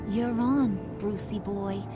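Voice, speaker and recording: A woman's voice: medium pitch, fairly even intonation, takes it slowly, natural voice. An American woman playing a playful, menacing young gangster in a video game, with a taunting, sing-song, slangy delivery. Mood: threatening. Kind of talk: theatrical video game voice acting. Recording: background music under the voice.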